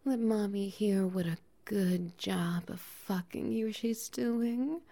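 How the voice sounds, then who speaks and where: A doting, dominant bear-woman played by a woman murmurs in a soft, teasing whisper with low breathy laughs. Her voice is mellow and warm with a gravelly fry. She takes her time, drawing every word out slowly. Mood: sultry, doting. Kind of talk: intimate audio roleplay.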